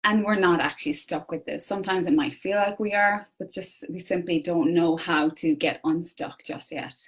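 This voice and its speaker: A young Irish woman with an Irish accent gives an earnest solo livestream talk, with many pauses and restarts. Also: lowish voice, breathy edge. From Ireland. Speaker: personal development coach. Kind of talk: solo Facebook Live talk.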